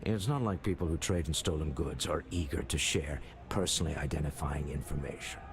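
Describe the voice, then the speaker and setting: An actor playing a wry, measured older man in a company's leadership, in video game dialogue with music behind. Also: extremely low pitch, slight background noise. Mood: dry.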